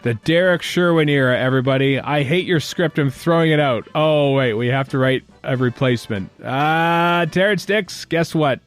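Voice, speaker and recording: A man's voice: a little high, moderate pace, few pauses, clear, resonant tone, soft background music. A man hosting a podcast, chatting casually about a TV series in a jokey way. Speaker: podcast host.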